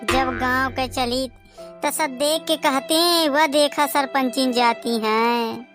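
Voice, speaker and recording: A man's voice: very high-pitched, steady tone, slow, over music. A man with a Bagheli accent voicing a gossipy village man in a comic cartoon, talking in complaining village banter.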